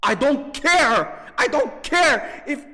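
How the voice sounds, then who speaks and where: A man delivering an angry, off-the-cuff gaming rant, agitated and profane, repeating himself haltingly with drawn-out emphasis. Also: extremely high pitch, lively intonation, bright, forceful tone. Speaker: gaming video creator. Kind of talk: angry off-the-cuff rant about video games. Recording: long reverberant hall-like echo.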